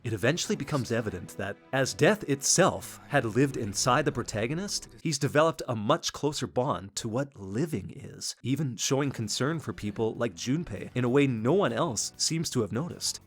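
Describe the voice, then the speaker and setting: A man's voice: mid-range voice, animated, normal speed, few pauses. Man narrating game commentary over music. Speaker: video essay host. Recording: music under the voice. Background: background music.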